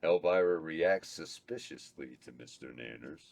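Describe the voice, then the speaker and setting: A man reads out the stage directions at a table read. His voice is very deep, and he keeps a plain, steady delivery. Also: normal speed, creaky. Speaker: narrator reading stage directions. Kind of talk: reading a script aloud.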